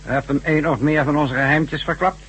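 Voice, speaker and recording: An actor playing a ruthless, coolly mocking chief of a secret organisation in a Dutch radio drama, husky and commanding. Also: male voice, fairly high pitch, fairly even intonation, a little fast, fairly bright tone. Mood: stern.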